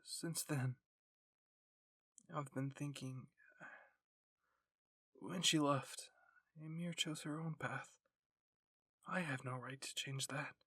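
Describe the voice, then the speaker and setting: Man reading as a young woman soldier, anime roleplay, sad, subdued, quietly bitter, husky, dry recording. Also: fairly high pitch, fairly even intonation, takes it slowly, pauses often, no room sound, exaggerated voice. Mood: downcast. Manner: subdued, downcast. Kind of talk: anime fan fiction roleplay.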